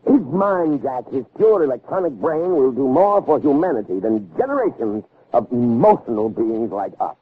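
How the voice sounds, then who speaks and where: Casting: insistent scientist father, middle-aged, very lively intonation, raspy edge, vintage radio play on a narrow band. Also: mid-range voice, moderate pace, few pauses. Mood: assured, persuasive. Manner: emphatic, authoritative lecturing. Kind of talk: 1960s radio drama acting. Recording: narrow, phone-like old radio recording.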